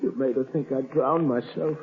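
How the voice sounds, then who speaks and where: A man's voice: medium pitch, fairly even intonation, normal speed, husky, narrow, tinny audio. An actor playing a weakened, wronged older father held captive, defiant, in 1930s radio style. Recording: thin band-limited 1930s radio recording.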